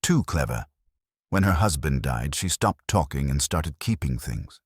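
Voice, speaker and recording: Man acting old male retired councillor, very deep voice, weary, guarded, evasive, halting terse phrases, detective audiobook, dry sound. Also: lively intonation, husky, dry recording, heavily acted. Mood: guarded, rueful. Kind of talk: audiobook detective mystery character reading.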